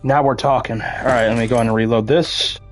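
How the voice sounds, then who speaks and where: A man's voice: medium pitch, fairly even intonation, normal speed, faint music behind. A man, a gaming YouTuber, giving casual gameplay commentary in a chatty, upbeat way, his voice still slightly scratchy from being ill. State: slightly scratchy voice after illness.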